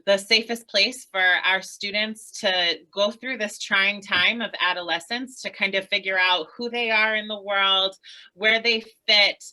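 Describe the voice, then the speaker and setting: Bright, enthusiastic woman educator. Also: mid-range voice, low-quality recording. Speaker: middle school staff. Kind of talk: school open house presentation. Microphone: video call.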